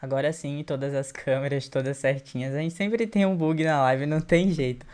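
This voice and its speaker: A man's voice: a little high, normal speed, a little mellow, clean tone. A man with a Brazilian accent hosting a live stream on a video call in an informal, chatty way. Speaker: host.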